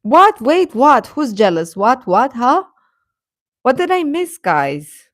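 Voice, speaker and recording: A woman's voice: sits high, sing-song, takes it slowly, dry recording, clean studio sound. A woman hosting a livestream, chatting casually and reacting to viewers, often repeating her phrases. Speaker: livestream host.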